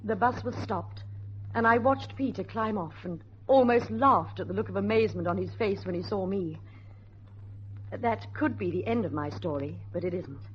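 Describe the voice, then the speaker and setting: A woman's voice: mid-range voice, moderate pace, close to an everyday voice. A woman playing a married woman caught up in a dangerous ordeal in a radio drama, narrating and acting it out. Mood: measured storytelling. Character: urgent, weary married woman narrating her flood ordeal. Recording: dull, noisy old radio recording.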